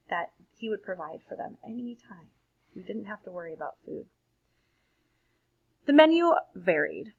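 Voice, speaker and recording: A woman reading a picture book aloud to children in an expressive storytelling manner, adding simple, casual explanations. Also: on the high side, muffled recording. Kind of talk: read-aloud picture book narration with casual asides to young listeners.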